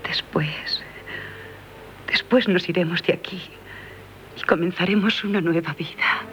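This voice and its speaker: Actress as devoted, insistent young lover. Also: female voice, fairly low pitch, unhurried, whispered, lightly acted. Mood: resolute. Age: young adult. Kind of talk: 1970s radio drama acting. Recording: noisy 1970s radio broadcast recording.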